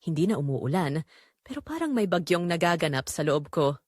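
A woman's voice: lowish voice, very animated, moderate pace, a little mellow, no echo, clean studio sound. A woman narrating a scripted first-person drama in an earnest, emotive way.

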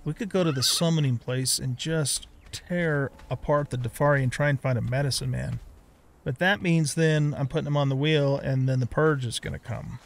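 A man's voice: medium pitch, moderate pace, a little hiss or hum. A middle-aged man giving casual gameplay commentary, rambling and thinking aloud as he hosts a let's play.

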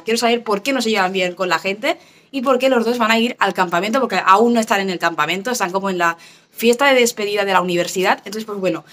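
A young woman with a slightly high, hoarse voice talks casually to camera about a book she is reading. She rambles in a chatty way, full of asides and fillers, and sounds close to a phone or camera mic at home. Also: a bit bright. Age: young adult. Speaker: book vlogger. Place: small rooms at home and an outdoor terrace.

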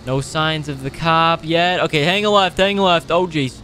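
A young man doing casual, excitable roleplay commentary over a video game, animated and slangy with frequent exclamations. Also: a little high, normal speed, slight background noise. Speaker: gaming video host.

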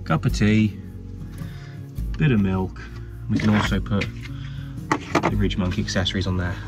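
A man's voice: on the low side, normal speed. English guy in his 30s vlogging from his van, cheeky and upbeat, music playing under him. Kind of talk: casual vlog chat to camera. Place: inside a parked camper van. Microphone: action camera on a mount.

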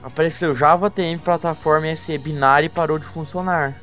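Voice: medium pitch, steady tone, a little fast, a bit bright, faint music behind. A gamer mocking a friend in slangy casual chat during a group game. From Brazil.

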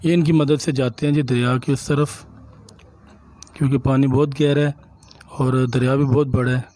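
A man, a village vlogger from Punjab, calmly explaining sights to viewers while he walks outdoors and films on his phone. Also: medium pitch, moderate pace, no echo. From Punjab, Pakistan. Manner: calm, explanatory, addresses viewers directly. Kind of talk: walking tour narration.

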